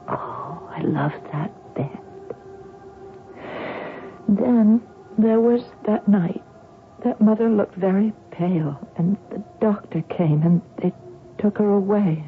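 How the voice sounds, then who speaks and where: An actress playing a haunted, wistful woman who recalls her childhood in a dreamy, halting way, in radio drama style. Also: sits low, gravelly, noisy background, exaggerated voice. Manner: slow, dreamy, halting, dwelling on repeated words. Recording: tinny band-limited radio with music underneath.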